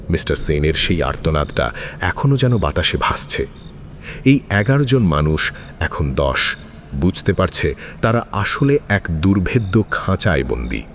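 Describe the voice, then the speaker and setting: A man's voice: deep voice, a little hiss or hum. A man narrating a suspense thriller in a dramatic, scripted storytelling style.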